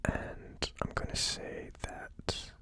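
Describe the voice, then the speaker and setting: An ASMR host whispering a tasting review in a hushed, unhurried, musing way. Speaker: host of a whiskey tasting channel.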